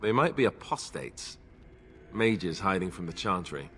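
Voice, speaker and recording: A man's voice: low-pitched, sing-song, a little slow, fairly bright tone, slight background noise, heavily acted. An actor voicing a wry young male warden in a video game, dry and a little doubtful.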